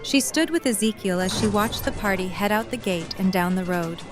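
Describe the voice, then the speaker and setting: Woman narrator reading audio drama story, mid pitch, bit of music underneath. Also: lively intonation, moderate pace, a crowd in the background.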